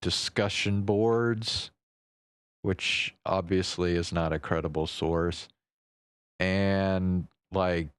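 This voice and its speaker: A man with a deep, smooth voice hosts a casual livestream. He speaks slowly, thinking out loud with hesitations and the odd swear word. The sound is close and dry. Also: steady tone, dry recording. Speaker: livestream host. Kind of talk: casual unscripted livestream chat.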